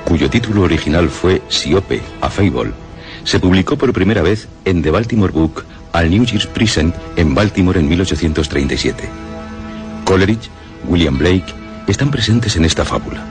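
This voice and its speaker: Male announcer for literary radio: low register, scripted informative narration, music clearly underneath. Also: expressive, moderate pace.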